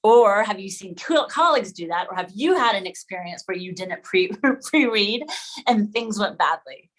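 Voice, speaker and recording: A woman with a medium-pitched voice hosts an online meeting for educators. She presents conversationally, like a moderator opening things up to the group. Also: expressive, normal speed, poor audio. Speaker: host of an online session for educators.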